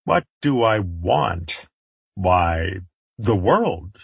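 A man voicing a menacing male warlord in an audiobook, taunting and mockingly genteel in a theatrical, drawn-out way. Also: low voice, sing-song, vocal fry, slightly rough, dry recording, heavily acted.

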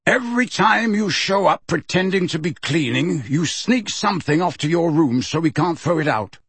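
A man plays a bitter grown man who answers coldly and impatiently, his pitch moving a lot. The voice is husky and dry. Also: expressive, moderate pace, a bit bright, no room sound, full character voice. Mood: cold, curt. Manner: cold, curt, impatient. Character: bitter cold-spoken grown man, house heir.

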